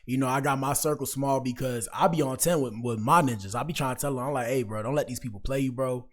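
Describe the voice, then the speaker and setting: Man, American accent, medium pitch, livestream host, casual commentary, slangy, informal, direct to viewers. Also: fast talker. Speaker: livestream host reacting to clips.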